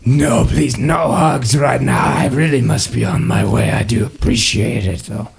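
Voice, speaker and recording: A man's voice: medium pitch, measured pace, gravelly, slightly rough, exaggerated voice. A man voicing a huge, skull-covered male cultist who is breezy and cool, polite and casual in manner. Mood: polite refusal. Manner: polite, casual, then jokey with a flourish.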